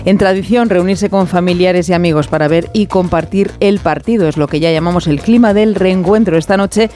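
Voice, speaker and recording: Man, news anchor, high pitch, scripted links, faint music behind. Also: darkish tone. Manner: measured, scripted.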